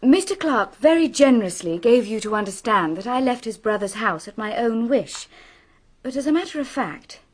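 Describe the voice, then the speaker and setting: A woman's voice: on the high side, lively intonation, moderate pace. An actress playing a composed, candid young Englishwoman in a radio drama, speaking formally and politely in received pronunciation. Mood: earnest, hesitant.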